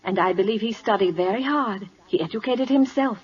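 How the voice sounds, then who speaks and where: An actress playing a principled, outspoken governess at a royal court in a 1940s-style radio drama, speaking articulately, polite but firm. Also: female voice, mid-range voice, fairly quick, a little hiss or hum, natural voice. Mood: principled, persuasive. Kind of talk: 1940s American radio acting. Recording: muffled old-time radio recording.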